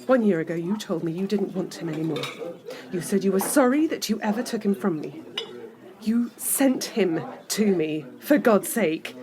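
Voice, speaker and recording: 女演员饰演的英国中年女子，语调起伏很大. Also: female voice, medium pitch, lively intonation, normal speed, crowd noise, natural voice. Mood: accusing. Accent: British. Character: anxious, yearning middle-aged professional woman. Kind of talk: audio drama acting.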